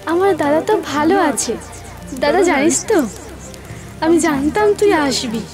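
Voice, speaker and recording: A woman playing a gentle, devoted younger sister's ghost in a horror audio drama, speaking tenderly. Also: sits high, animated, unhurried. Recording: music bed with rain behind. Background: music and rain.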